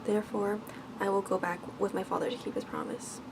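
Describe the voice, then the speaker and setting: A woman voicing a brave, gentle and dutiful young woman in a storybook, speaking softly, earnestly and politely. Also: mid-range voice, flat delivery, a little fast, noisy background, natural voice. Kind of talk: bedtime storybook reading.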